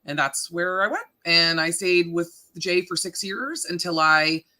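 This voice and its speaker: A woman in her thirties from Canada with a deep voice, a radio morning host chatting casually in an interview, laughing often and filling with ums. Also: a little slow, bright, forceful tone. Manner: chatty, laughing, self-deprecating, many fillers.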